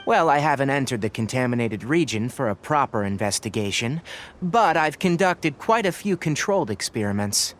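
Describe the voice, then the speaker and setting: An actor playing a calm, scholarly young male researcher in a video game, articulate and measured as he explains. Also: mid-range voice, a little hiss or hum, close to an everyday voice. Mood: calm, analytical.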